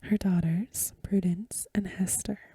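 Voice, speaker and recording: A woman softly whispering a story for ASMR in a breathy voice, with gentle, careful phrasing, close to the microphone. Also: medium pitch, steady tone, slow, dry recording. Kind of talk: soft-spoken ASMR story reading.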